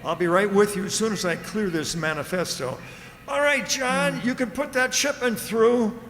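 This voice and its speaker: A man's voice: high voice, normal speed, a bit bright, slight background noise. A man playing a busy, hesitant customs clerk in an old-time radio drama, with a distracted, muttering delivery. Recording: reverberant hall, stage-like sound.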